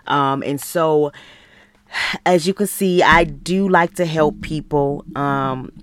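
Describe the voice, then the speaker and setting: Clear, smooth-voiced American woman. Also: sits low, slow pace, no room sound. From Michigan, United States. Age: 30s. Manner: earnest, encouraging, conversational. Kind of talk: personal podcast monologue, faith-based motivational talk.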